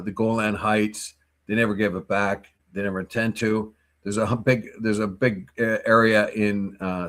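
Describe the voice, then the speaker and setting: A man co-hosting a morning news talk show, with a voice that sits on the low side. He talks through the news in a loose, opinionated ramble, often restarting his sentences. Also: a bit bright. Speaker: co-host.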